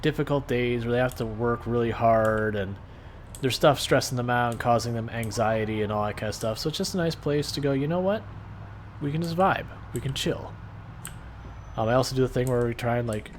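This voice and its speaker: A man streaming a game live, talking through his play in a calm, soothing, laid-back way. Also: medium pitch, slight background noise. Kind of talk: casual live stream gameplay commentary.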